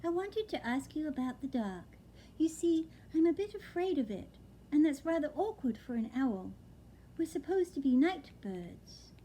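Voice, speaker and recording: An eager baby barn owl boy, put on by a woman reading a storybook, squeaky and high with a lively, bouncing pitch. He talks in an earnest, slightly worried way, and faint background noise sits under the voice. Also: moderate pace, rough recording.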